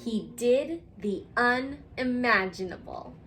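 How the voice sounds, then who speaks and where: A woman reading a picture book aloud for children, expressively and with dramatic pauses, speaking directly to her young listeners. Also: a little high, expressive, a bit bright, soft background music. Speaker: children's story reader.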